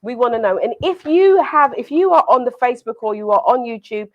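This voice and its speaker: A woman's voice: a little high, expressive, a little fast, dull audio. A woman with a British accent hosting a live online talk show over a video call, laughing often and talking straight to her viewers. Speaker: host.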